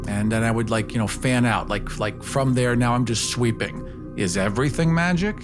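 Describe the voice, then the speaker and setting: A man with a medium-pitched voice talks casually as a player in a tabletop role-playing game. He thinks aloud about what his character does, with faint music behind him. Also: moderate pace. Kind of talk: casual chat, thinking through in-game actions.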